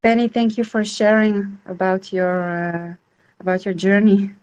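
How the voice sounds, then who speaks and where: A woman's voice: mid-range voice, level tone, a little slow, darkish tone, smooth, lo-fi sound. A woman in her thirties from Israel, hosting an online support group in a welcoming, reassuring way over a video call. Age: 30s.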